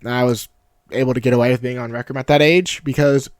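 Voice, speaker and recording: A young man with a deep, steady voice, looking back on a game in a casual vlog. He moves between nostalgia and self-mocking humor. The recording is close and dry, with no room sound. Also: fast talker, no echo. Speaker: YouTube creator. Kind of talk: reflective personal vlog narration.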